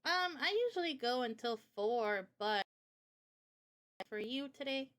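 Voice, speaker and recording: A woman with a fairly high, very bright voice hosts a casual live stream conversation. She is an illustrator and speaks in an earnest, passionate, unscripted way. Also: expressive, a little slow. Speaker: live stream host interviewing a guest.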